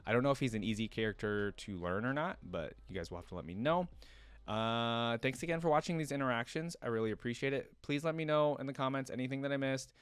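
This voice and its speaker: A man's voice: mid-range voice, quick pace, clean tone, dry recording. A man hosting a reaction video, talking in a casual, enthusiastic and rambling way.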